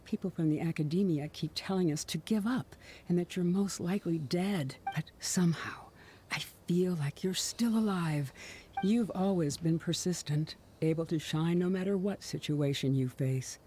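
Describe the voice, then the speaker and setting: A dying parent, acted by a woman reads a farewell letter in a game scene. The voice is very mellow, soft and tender, with solemn care. Faint ambience sits behind it. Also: fairly low pitch, moderate pace, slight background noise, natural voice. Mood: tender, hopeful. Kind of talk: dramatic video game letter narration.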